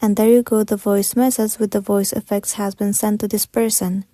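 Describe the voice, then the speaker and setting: A woman's voice: mid-range voice, flat delivery, moderate pace, dark tone, no echo, clean studio sound. A woman giving scripted step-by-step tutorial narration in a methodical, instructional manner.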